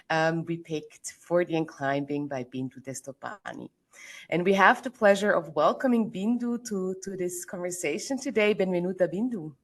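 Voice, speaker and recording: A woman hosting a film club Q&A, asking measured questions with some fillers. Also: fairly low pitch. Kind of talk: moderating a Q&A.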